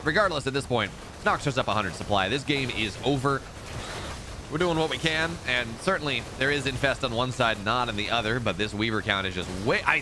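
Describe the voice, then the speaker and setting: A man casting a live strategy game match, excitable in the fights and relaxed and rambling when he chats with viewers. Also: mid-range voice, noisy background. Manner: excitable play-by-play, rising in big fights, relaxed and rambling between games. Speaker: esports caster. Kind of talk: live game commentary and chat reading.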